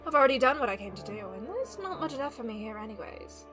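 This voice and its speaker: A woman voicing a sly, smug female villain in an animated series, with taunting, sarcastic, drawn-out delivery. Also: fairly high pitch, soft background music. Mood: mocking, menacing. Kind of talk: animated web series voice acting.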